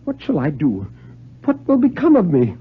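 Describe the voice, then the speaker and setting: A radio reader acts out a proud nobleman, tense and agitated, wrestling with himself. His husky male voice swings in pitch as he tries to sound firm. It is a muffled 1950s radio recording. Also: wildly swooping pitch, moderate pace, heavily acted. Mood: anxious dread. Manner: tense, self-questioning, forcing himself to be firm. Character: agitated, proud French nobleman dreading a duel.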